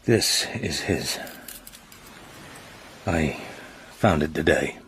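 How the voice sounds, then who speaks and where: An actor playing a serious, controlled secret agent with a British accent in a radio drama. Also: male voice, rumbling low, animated, drawn-out words, a bit bright, slight background noise, full character voice. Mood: grave, earnest.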